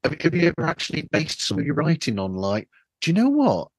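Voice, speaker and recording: A man's voice: a little high, wildly swooping pitch, quick pace, dry recording. A man hosting a casual interview, asking rambling, roundabout questions through a flawed microphone. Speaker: podcast host, interviewer. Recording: flawed microphone sound, dry.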